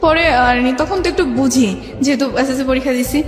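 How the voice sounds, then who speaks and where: A young woman with a Bangladeshi accent telling her life story in a radio interview, halting and conversational, acting out remembered exchanges. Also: high voice. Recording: echoey reverb, faint music behind. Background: faint music.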